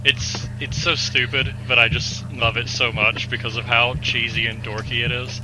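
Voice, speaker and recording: A man in his twenties chatting casually as a podcast host, animated and given to joking exaggeration. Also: mid-range voice, moderate pace, over music, poor audio. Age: 20s.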